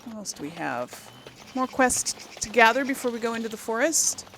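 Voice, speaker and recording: A woman's voice: fairly high pitch, sing-song, normal speed, a bit bright, a little hiss or hum. A woman hosting a casual let's play, chattily thinking aloud to her viewers. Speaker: gamer, series host.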